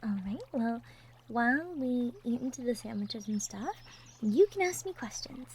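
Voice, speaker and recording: A woman playing a gentle, cheerful young cottagecore woman from the woods in an intimate roleplay audio, soft-spoken, giggly and sweet. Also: fairly high pitch, lively intonation, moderate pace, a little hiss or hum. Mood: thoughtful, soft. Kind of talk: roleplay audio drama, intimate one-sided conversation.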